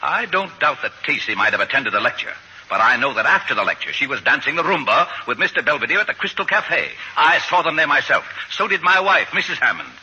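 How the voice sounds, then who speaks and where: Raspy, pompous, scandalized boss. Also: male voice, on the high side, very animated, fast, bright, forceful tone, highs cut off, heavily acted. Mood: stern, accusing. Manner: pompous, accusing, mock-sorrowful. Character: stuffy, self-righteous, gossiping male boss. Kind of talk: 1940s radio comedy acting. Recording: vintage radio broadcast recording.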